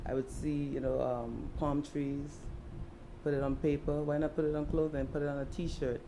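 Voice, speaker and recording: A woman's voice: low voice, little pitch movement, normal speed, background noise. A woman from Trinidad, an artist and fashion designer, answering interview questions in a reflective way with many "you know" fillers.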